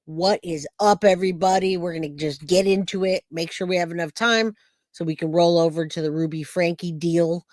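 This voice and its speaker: A man hosting a true crime livestream, hoarse and raspy from a cold, chatting casually and greeting viewers by name. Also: on the high side, normal speed, no echo.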